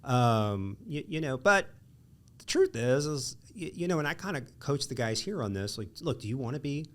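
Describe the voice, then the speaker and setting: A man hosting a casual podcast chat as a lawyer, with a bright, nasal voice and an animated way of acting out what people say. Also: mid-range voice, normal speed. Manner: animated, acts out quoted voices.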